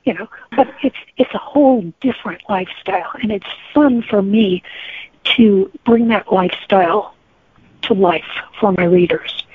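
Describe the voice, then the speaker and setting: A woman's voice: medium pitch, normal speed, slight background noise. An older woman with an American accent, telling stories conversationally as an interview guest over a thin phone-like connection. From United States. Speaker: guest, novelist.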